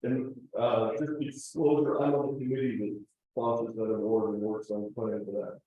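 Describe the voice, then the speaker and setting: A man talks through his slides at a club meeting, explaining patiently and pausing on fillers. His voice is dark and mellow. He is picked up from across a very echoey room. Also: medium pitch, little pitch movement, muffled recording. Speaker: club presenter. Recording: distant, roomy meeting-room recording.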